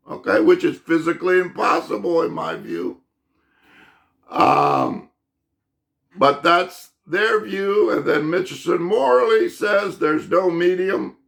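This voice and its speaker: Channel host, male, gravelly high voice, halting unscripted talk, hoarse. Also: a bit bright. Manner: halting, many restarts and repeated "okay?" checks. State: losing his voice. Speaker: channel host explaining his own physics theory. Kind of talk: unscripted monologue to camera.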